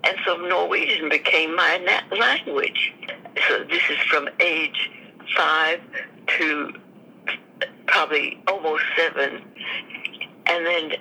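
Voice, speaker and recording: A woman in her nineties, a veteran guest, slowly recalling her life in an interview with many small restarts. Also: low voice, gravelly, pushed and bright, breathy edge, poor audio. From United States. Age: 90s. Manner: slow, thoughtful storytelling with restarts. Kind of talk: reminiscing interview answers.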